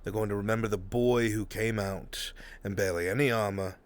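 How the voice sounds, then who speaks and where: A man playing a grave, fatherly older military commander in a fantasy roleplay, speaking in a measured, solemn, counselling way. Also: lowish voice, steady tone, natural voice. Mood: solemn, consoling. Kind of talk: live fantasy roleplay, improvised dramatic acting. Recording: music behind the voice.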